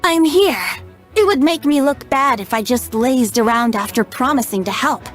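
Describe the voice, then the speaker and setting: A woman's voice: high-pitched, animated, moderate pace, soft background music. A woman voicing a playful, youthful male wandering bard in a video game, light and coy.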